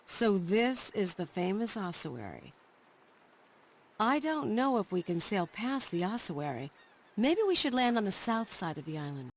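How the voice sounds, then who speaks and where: A woman with an American accent playing a bold, wry, determined young adventurer in a video game cutscene, with brisk, resolute delivery. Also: medium pitch, very animated, a little hiss or hum. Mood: resolute, commanding.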